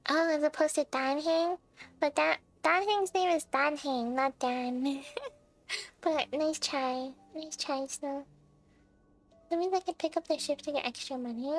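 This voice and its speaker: A young woman casually chatting on a live stream as she plays a game, talking to viewers in a playful, chatty way. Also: sits high. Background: game music.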